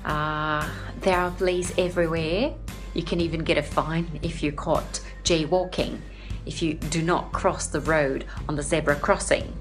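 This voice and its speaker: A woman's voice: low voice, steady tone, unhurried, soft background music. A young adult Brazilian woman and English teacher answering interview questions earnestly, with many "uh" fillers. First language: Portuguese. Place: home in Sydney.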